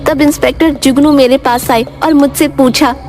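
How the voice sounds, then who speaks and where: Woman playing feisty high-voiced young street seller. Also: fairly even intonation, normal speed, darkish tone, lightly acted. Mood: defiant. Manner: defiant, taunting. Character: feisty fearless young female jalebi seller. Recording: music behind the voice.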